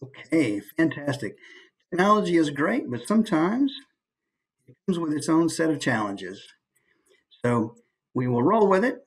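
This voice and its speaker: A man giving an informal online presentation in an easygoing, conversational way, with hesitant pauses as he goes. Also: fairly high pitch, sing-song, slightly rough. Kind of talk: informal online talk with slides. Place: online video call.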